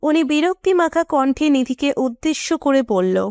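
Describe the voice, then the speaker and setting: High-voiced woman narrating a story. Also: lively intonation, normal speed, darkish tone, no echo, studio quality. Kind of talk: scripted romantic story narration.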